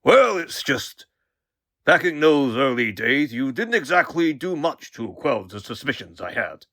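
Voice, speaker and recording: A man's voice: mid-range voice, very animated, measured pace, a bit bright, dry recording, clean studio sound. A man voicing a pompous older newspaperman hosting a podcast in a comic book dub, bombastic and theatrical. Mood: grudging, grandiose. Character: pompous, blustering older male newspaperman turned podcast host.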